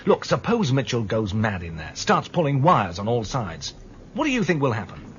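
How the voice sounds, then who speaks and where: An actor playing a stubborn official who argues about a dangerous fugitive. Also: male voice, mid-range voice, wildly swooping pitch, moderate pace. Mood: insistent. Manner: insistent, arguing. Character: weary male official chasing fugitives, stubborn. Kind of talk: radio drama acting. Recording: dull lo-fi radio drama, faint noise.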